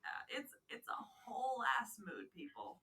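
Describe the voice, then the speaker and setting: A hoarse woman talking in a breathy half-whisper, her voice sitting high and swinging through a lively range. Her tone stays bright and sharp. She is quick to push back in an easygoing chat. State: hoarse, voice blown out. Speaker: podcast co-host. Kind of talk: casual chat.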